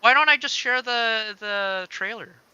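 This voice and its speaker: A man's voice: very high-pitched, measured pace, pushed and bright, clear, resonant tone, dry recording, lo-fi sound. A man chatting casually as he works on a livestream demo, laid-back and half-muttering to himself. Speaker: game creator demonstrating on a livestream. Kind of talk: casual chat while working.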